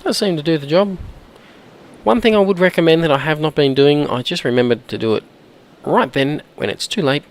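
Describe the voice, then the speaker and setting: A man with a hoarse, croaky voice from a cold, chatting casually while he plays and often clearing his throat. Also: on the high side, fairly quick. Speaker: gameplay commentator. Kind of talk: casual let's-play commentary.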